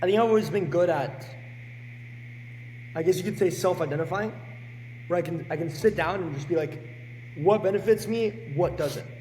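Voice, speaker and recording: A man in his late teens with a hoarse, sick voice, talking bluntly and energetically as a podcast guest. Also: high-pitched, moderate pace, long pauses, in a large hall, slight background noise, low-quality recording. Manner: energetic and blunt, swears freely. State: hoarse, sick with a sore throat. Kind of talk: casual podcast chat.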